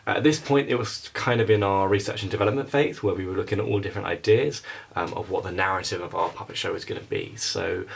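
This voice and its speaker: A man's voice: sits low, fast talker, creaky, hardly any pauses, a little hiss or hum. A young man giving an informal explanatory narration about how something creative was made. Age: young adult. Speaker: student puppet-show maker narrating a making-of.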